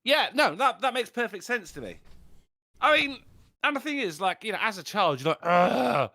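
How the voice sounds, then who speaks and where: A man's voice: high-pitched, very animated, normal speed, pushed and bright, no echo. A man co-hosting a casual livestream chat, joking around and reading out chat comments. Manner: chatty, jokey, reads chat comments aloud. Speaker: podcast co-host.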